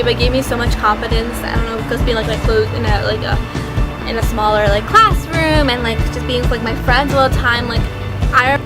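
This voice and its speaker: A young woman with a high voice chats through a casual storytime, filling her sentences with "like" and slipping into the voices of her younger self. Loud music plays under her the whole time. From United States. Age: young adult. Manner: chatty, peppered with "like", acts out her past self. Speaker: vlogger.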